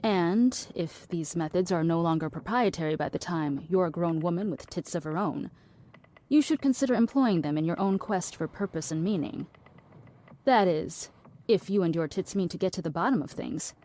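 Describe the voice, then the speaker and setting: A cynical woman, voiced by an actress in an audio drama. Her voice sits a little low, and she philosophises in a deadpan, wordy, dismissive way. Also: normal speed, lightly acted. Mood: deadpan lecturing. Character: cynical, blunt, philosophising woman in a trench coat.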